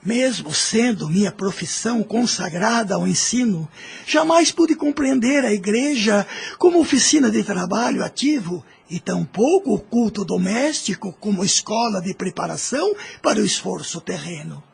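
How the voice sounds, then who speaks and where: A man acts out a learned, inquisitive schoolmaster in a religious audio drama. His voice is very husky and crackles with creak, rising high as he speaks with formal, theatrical courtesy. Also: animated, normal speed, heavily acted. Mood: courteous, curious.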